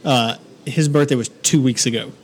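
A man's voice: mid-range voice, steady tone, normal speed, a little mellow. A man in his thirties co-hosting a podcast, chatting casually with a joking, teasing, profane manner. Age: 30s. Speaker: podcast co-host.

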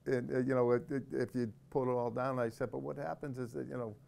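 A man's voice: mid-range voice, little pitch movement, fast talker, vocal fry, warm tone. A middle-aged man, a corrections officer and podcast guest, explaining things thoughtfully in casual conversation, often restarting his sentences.

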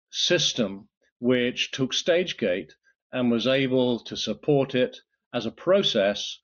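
A man with a British accent, a guest in a podcast interview, speaking thoughtfully with frequent restarts. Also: mid-range voice. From United Kingdom. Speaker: guest, solutions architect. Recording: slightly dull lo-fi audio.

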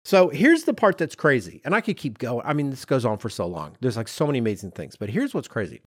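A man's voice: medium pitch, very animated, quick pace, breathy edge, dry recording, clean studio sound. A man, telling stories as a podcast co-host in an emphatic, self-deprecating, conversational way. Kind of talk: animated storytelling in casual podcast chat.

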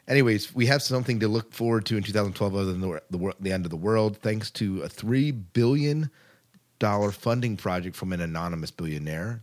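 A man with a deep voice speaks as a podcast co-host. He delivers science fiction news and commentary in a casual, conversational style.

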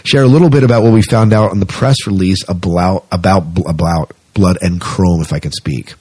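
A male podcast host with a fairly low, husky voice. He chats casually through news items in a conversational, rambling way. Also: fairly quick, a little mellow. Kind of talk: casual sci-fi news chat.

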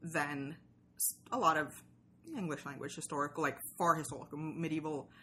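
Lower-voiced woman chatting over background noise. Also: little pitch movement, brisk, sharp tone. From Sweden. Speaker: podcast guest, romance podcaster. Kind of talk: casual interview chat. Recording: clearly noisy background ambience.

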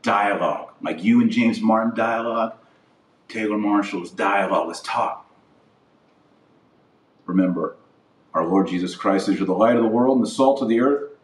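A man's voice: on the low side, moderate pace, pauses often. A man hosting a religious podcast, delivering an impassioned opinion monologue in a blunt, emphatic, repetitive way. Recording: roomy echo, distant from mic.

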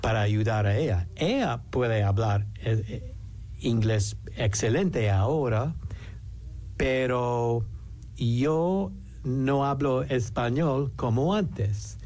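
A man's voice: mid-range voice, lively intonation, darkish tone. A man, an English speaker, hesitantly talking as a guest in a casual interview. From United States. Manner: hesitant, halting, many restarts.

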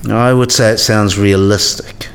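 A man's voice: on the low side, steady tone, measured pace. An actor playing a disillusioned but easygoing senior male professor, frank and world-weary, in a radio play. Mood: disillusioned, blunt.

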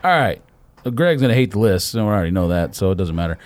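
A man's voice: low voice, sing-song, fast, a little mellow. An American man hosting a casual podcast, chatting and teasing his friends in slangy talk. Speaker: podcast host. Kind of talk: casual banter among friends about sneakers.

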